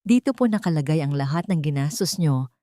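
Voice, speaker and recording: Woman reading as proud young mom, cold but polite, steady, story audio, dry sound, low-ish. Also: brisk, no room sound. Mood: firm, cold. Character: firm, dignified young mother standing up for herself.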